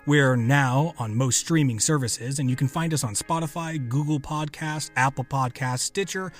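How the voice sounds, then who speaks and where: A man's voice: medium pitch, moderate pace. A man reading a scripted podcast outro as the announcer. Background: faint music.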